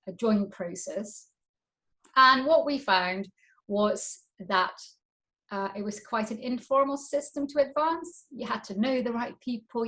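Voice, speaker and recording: A woman's voice: mid-range voice, a bit bright, poor audio. A woman diversity consultant hosting a webinar over a video call, talking conversationally and thinking aloud as she invites participants in. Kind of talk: webinar presentation and group round.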